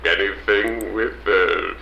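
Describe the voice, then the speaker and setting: A man's voice: on the low side, fairly even intonation, slow pace, vocal fry, sharp tone, roomy sound, slight background noise, full character voice. A man playing a nostalgic old small-town man who rambles and stammers fondly in an audio drama. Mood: excited, fond. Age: older.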